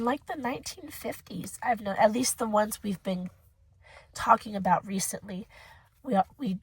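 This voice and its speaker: A young woman with a hoarse voice from a cold, rambling casually as she reviews a book for her channel. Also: mid-range voice, no echo, low-quality recording. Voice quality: husky. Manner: chatty, rambling, emotional.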